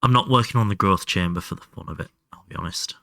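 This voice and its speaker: A man's voice: low voice, fast talker, vocal fry, pushed and bright, no echo, studio quality. A man doing casual live game commentary and chatting to viewers, sweary and self-deprecating. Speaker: live streamer playing a video game.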